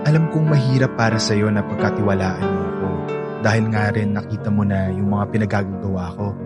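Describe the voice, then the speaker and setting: An actor playing a reformed young playboy in a radio drama, speaking sincerely and persuasively with a Filipino accent. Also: male voice, lowish voice, fairly quick, over loud music, close to an everyday voice. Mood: tender, reassuring. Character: earnest reformed young playboy pleading his love.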